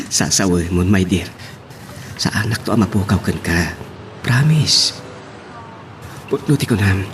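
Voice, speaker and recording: A man's voice: low-pitched, sing-song, slow pace, pauses often, a little hiss or hum, exaggerated voice. A man playing an ardent, sweet-talking young lover who woos and pleads in a radio romance drama. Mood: pleading, reassuring. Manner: wooing, pleading. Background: music.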